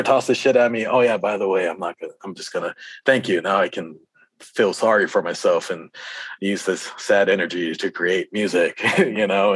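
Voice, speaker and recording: A man giving casual, reflective interview answers as a musician, in a halting, rambling way. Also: low voice, a bit bright, breathy edge, no echo.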